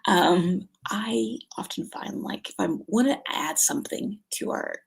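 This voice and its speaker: A middle-aged woman speaks in a mid-range voice with a slightly rough, husky grain. Her delivery is casual and conversational, like a vlogger sharing recommendations. She often thinks out loud as she goes. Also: mid-range voice, animated, a little slow, whispered. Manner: chatty, conversational, thinking aloud. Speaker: homeschool vlog host. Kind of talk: casual informal product recommendations to camera.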